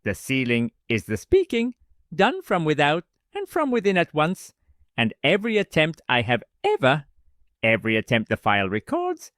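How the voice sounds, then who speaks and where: An actor playing a weary, learned old man in an audio drama, speaking in deliberate, formal, clipped declarations. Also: on the high side, wildly swooping pitch, fairly bright tone, no room sound, clean studio sound. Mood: grave, insistent. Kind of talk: dramatic audio fiction dialogue.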